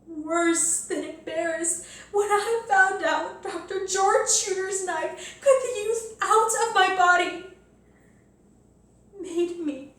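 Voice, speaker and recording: A woman playing a bitter, wounded young Southern daughter in a stage monologue, deliberate, pleading and accusatory, with heavy pauses. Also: extremely high pitch, fairly bright tone, full character voice. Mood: anguished. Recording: echoey room, faint noise.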